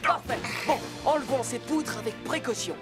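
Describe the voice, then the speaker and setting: A woman voicing a calm, caring young rescue police car leader in a French children's cartoon dub, giving instructions reassuringly. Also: sits high, normal speed, lightly acted. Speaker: voice actor. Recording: loud music behind the voice.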